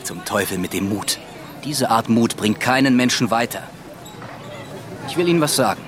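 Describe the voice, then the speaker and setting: An actor playing a proud, exasperated sea captain in a radio play, speaking with impatience and contempt. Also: male voice, medium pitch, animated, moderate pace, noisy background, lightly acted. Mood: scornful, urgent. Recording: busy street crowd behind. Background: outdoor street bustle.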